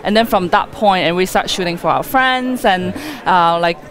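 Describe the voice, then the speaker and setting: A woman's voice: fairly low pitch, normal speed, a bit bright. A woman being interviewed as a wedding photographer, talking conversationally in a rambling, chatty way with "like" as a frequent filler.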